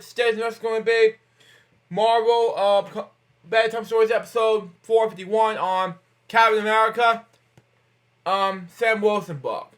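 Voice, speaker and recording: Higher-pitched man reading aloud informally. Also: a bit bright. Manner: halting, repetitive delivery with frequent interjections. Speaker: host of a bedtime story read-aloud series. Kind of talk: informal picture-book read-aloud with asides.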